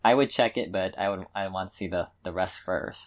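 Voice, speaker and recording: A man with a slightly low voice chats as a podcast co-host. His delivery is casual and tentative, often qualifying what he has just said. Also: fairly quick. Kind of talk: casual chat about anime shows.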